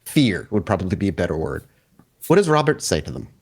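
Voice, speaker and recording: A man improvising narration as game master of a tabletop horror game, descriptive and pausing as he builds suspense. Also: low voice, very animated, slightly rough.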